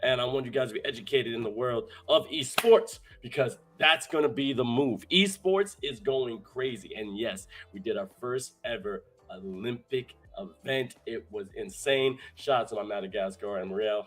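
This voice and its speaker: A young adult man hosting a live streamed show, hyped and energetic, his voice hoarse from talking so much. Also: mid-range voice, normal speed, few pauses. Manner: energetic, shouting out viewers in chat. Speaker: host.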